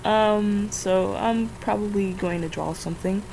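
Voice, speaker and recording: A woman's voice: mid-range voice, a little slow, creaky. A young woman with a scratchy, just-woken-up voice, rambling in a casual vlog while she draws, full of fillers and self-corrections. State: just woke up, tired. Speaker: vlogger.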